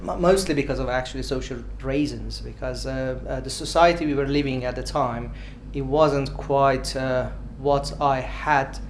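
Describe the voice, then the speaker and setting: A man from Iran speaks in his forties, with a clear Iranian accent and mid-range pitch. He answers unscripted and keeps halting on filler sounds. Faint ambient noise sits under his voice. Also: steady tone. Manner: hesitant, many filled pauses. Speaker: dentist interviewee.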